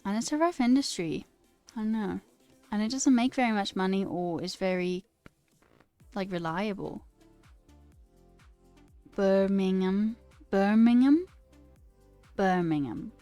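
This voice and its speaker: A woman's voice: medium pitch, normal speed, a little mellow, clear, resonant tone, no echo. Young woman streamer with put-on British accent. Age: young adult. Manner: self-deprecating, theatrical. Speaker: live coding streamer. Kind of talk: casual livestream chat, reading viewer comments aloud. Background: music.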